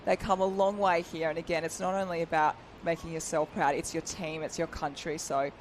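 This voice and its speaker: A man's voice: a little high, flat delivery, a little fast, slight background noise. A man giving expert colour commentary at a live sports event, enthusiastic and explaining technique in a chatty way.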